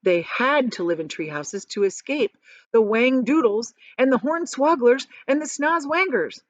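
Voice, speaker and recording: A woman voicing an eccentric, proud and excitable male chocolate factory owner in a theatrical, emphatic storybook manner. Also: a little high, a little slow, no echo, highs cut off. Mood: dramatic, pitying. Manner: theatrical, emphatic, stretching key words.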